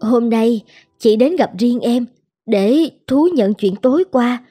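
A woman voicing an anxious, scheming adulterous wife in an animated drama, polite and pleading. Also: a little high, slow, breathy edge, faint music behind. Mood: pleading, remorseful. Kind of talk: Vietnamese animated drama dubbing.

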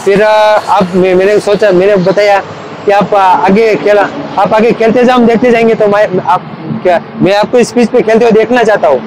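Young guy from gujarat, high voice, stumbles and restarts, talking outside with traffic faint behind. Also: steady tone, a little mellow, low-quality recording. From Gujarat, India. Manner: eager, halting, with many restarts. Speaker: cricket fan being interviewed. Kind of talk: casual street interview.

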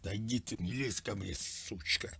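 Casting: prank caller, a man with a low, forceful voice, complaining in a rambling way. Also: normal speed, gravelly, slight background noise. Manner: plaintive, rambling, mock-naive. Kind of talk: prank phone call posing as an older man.

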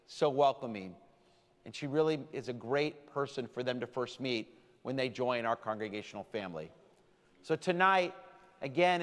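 Rabbi preaching in a reverberant sanctuary. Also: male voice, on the high side. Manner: warm, deliberate preaching with slowed emphasis. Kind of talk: sermon to a congregation. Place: large synagogue sanctuary. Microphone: stand mic from a far camera. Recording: long hall reverb.

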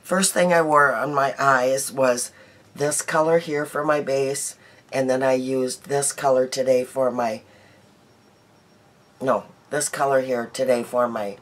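A middle-aged woman vlogging her daily makeup in a small bedroom, chatty and homey, her voice hoarse from a cold. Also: rumbling low. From United States. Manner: chatty, homey, laughs easily, trails off. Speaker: beauty vlogger. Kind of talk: casual daily makeup chat to camera.

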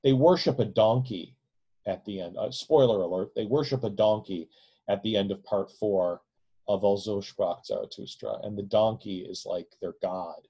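A man's voice: on the low side, moderate pace, warm tone, slightly rough, lo-fi sound. A man in his forties giving a casual, discursive lecture from a home room into a close webcam, often adding "right?" to his points. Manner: discursive, frequent "right?" tag questions. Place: home room with bookshelves, indoors. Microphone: webcam at close range.

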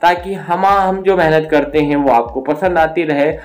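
A man narrating a travel guide video as its host, with a steady, inviting tour-guide delivery. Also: on the high side, normal speed. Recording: faint background music.

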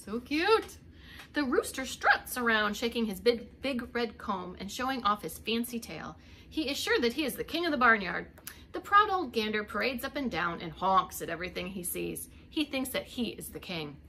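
A woman's voice: fairly high pitch, sing-song, moderate pace, bright, forceful tone, a little hiss or hum. A middle-aged woman reading a picture book aloud as a preschool teacher, expressively, with little asides to young children. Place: indoors, home living room.

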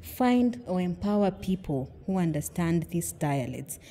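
A woman's voice: on the low side, animated, a little slow, mellow. A middle-aged woman from Kenya, a podcast guest, explaining earnestly with examples and rhetorical questions. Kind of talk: conversational interview.

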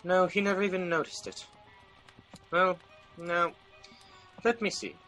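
Man acting fussy exasperated gentleman, radio drama, bright forceful voice, highish pitch, declamatory. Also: steady tone, a little slow, soft background music. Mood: fussy, reminiscing.

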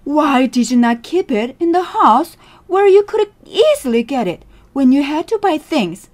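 A woman reads the part of a practical male passer-by in a fable. The voice is deliberate and blunt, with lively rises on pointed questions. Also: high voice, voice pushed up. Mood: incredulous. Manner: questioning, matter-of-fact. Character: sensible, blunt male passer-by advising a miser.

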